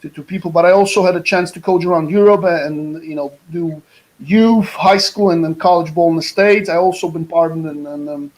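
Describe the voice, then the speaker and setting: A man's voice: on the high side, a little fast, a little mellow, low-quality recording. A Polish man with a mid-Atlantic-tinged accent, an American football coach speaking energetically over a video call, often restarting his words. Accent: Polish with a mid-Atlantic English lilt. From Poland. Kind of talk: guest presentation on a video call.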